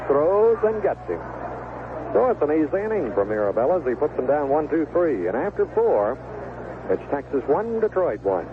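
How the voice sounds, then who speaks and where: Lively baseball announcer on tinny old radio. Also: male voice, fairly high pitch. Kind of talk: 1970s radio baseball commentary. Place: stadium broadcast booth. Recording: lo-fi, noisy vintage AM radio broadcast. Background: ballpark ambience and noise.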